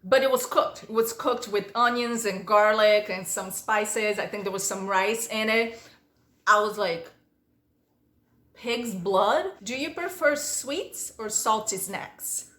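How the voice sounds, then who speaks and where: A woman's voice: medium pitch, slow pace, a bit bright, reverberant. A woman whose first language is Portuguese, reading questions aloud and answering them in a chatty, storytelling way for her vlog. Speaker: vlogger. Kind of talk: casual Q&A vlog.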